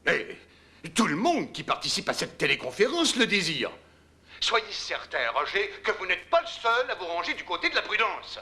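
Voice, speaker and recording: A man's voice: sits high, very animated, normal speed, bright, forceful tone, heavily acted. A man acting an impatient, combative space programme manager in a dubbed docudrama, arguing with sarcasm and pressing his point. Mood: firm. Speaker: meeting official challenging engineers.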